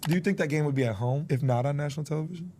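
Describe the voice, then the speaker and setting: A man with a slightly high but mellow voice chats casually, as on a podcast. He talks quickly. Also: slight background noise. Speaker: professional basketball player.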